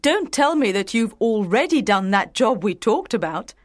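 A man's voice: sits high, very animated, moderate pace, voice pushed up. Man putting on a gushing well-off lady. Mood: delighted. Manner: gushing, effusive praise. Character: tall, smart, cheerful grown woman.

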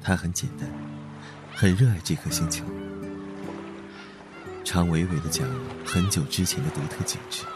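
A man playing a gentle, lonely old blue whale in a radio drama, speaking tenderly and wistfully in a soothing, unhurried voice. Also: natural voice. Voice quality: soothing, tingling low voice. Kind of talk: radio drama inner monologue. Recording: background music under the voice. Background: gentle guitar music.

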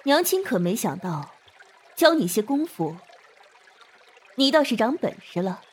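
A woman playing an indulgent but authoritative middle-aged mother in a Chinese costume audio drama, measured and gently chiding. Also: on the high side, big pitch swings, breathy edge, heavily acted. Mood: stern, chiding.

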